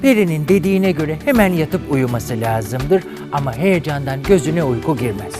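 A man's voice: a little high, big pitch swings, moderate pace. A man hosting a comedy show, telling a bawdy fairy tale in a campy, flamboyant way. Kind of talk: bawdy comic storytelling to a studio audience. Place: TV studio with live audience. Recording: studio audience laughter and music behind.

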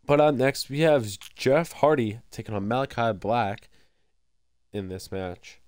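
A young man with a stuffy nose doing excitable play-by-play commentary over a wrestling video game, breaking into loud exclamations, recorded at home on a desk mic. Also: medium pitch, a little slow, dry recording, studio quality. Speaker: gameplay commentator.

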